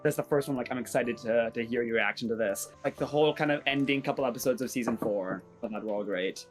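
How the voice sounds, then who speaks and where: A man chatting casually in a Q&A, hesitant and halting, often repeating his words. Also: mid-range voice. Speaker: cast member. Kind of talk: casual Q&A chat. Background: background music.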